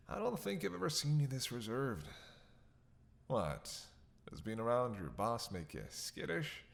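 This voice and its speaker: A man's voice: mid-range voice, gravelly, a bit bright, exaggerated voice. A man playing a captive vampire in a dramatic roleplay, witty, flirtatious and menacing, with a sarcastic, teasing drawl. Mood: teasing sarcasm. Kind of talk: dramatic roleplay monologue to the listener.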